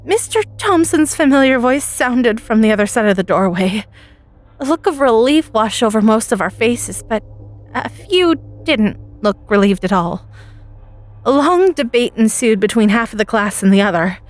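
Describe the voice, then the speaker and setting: A woman playing an anxious high school freshman girl who tells her story in a hesitant, stammering way. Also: expressive, normal speed, no echo, natural voice. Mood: anxious, recounting. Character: nervous teenage freshman girl recording during a school lockdown. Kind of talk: dramatic first-person audio story narration.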